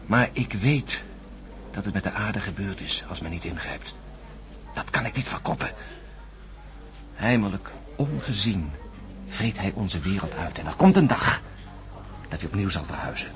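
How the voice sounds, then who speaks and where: An actor playing an embittered, suspicious astronaut in a science-fiction radio drama, emphatic and sardonic, with brooding hesitations. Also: male voice, low-pitched, expressive, normal speed, long pauses. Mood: grave, insistent. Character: embittered, suspicious male astronaut insisting on his alarming sighting.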